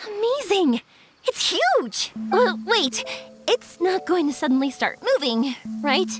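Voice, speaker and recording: A young woman voicing a bubbly, warm-hearted, earnest fireworks maker in a video game. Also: very high voice, very animated, slow, full character voice. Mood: amazed, excited. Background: music.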